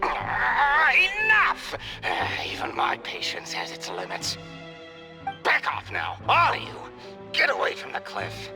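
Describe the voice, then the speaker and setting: An actor voicing a theatrical villain holding a hostage, with a gravelly, booming delivery. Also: wildly swooping pitch, slow pace, heavily acted. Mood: furious. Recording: game scene with loud music.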